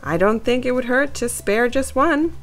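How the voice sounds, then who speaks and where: A woman's voice: high-pitched, normal speed, faint music behind, natural voice. A woman voicing a careful, sensible young male mouse in a children's storybook. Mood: agreeable.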